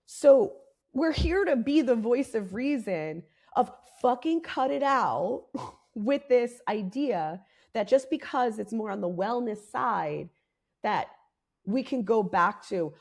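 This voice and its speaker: Raspy-voiced woman, fired-up podcast host. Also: mid-range voice, lively intonation, measured pace. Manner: candid, fired up, swears freely. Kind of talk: casual podcast talk.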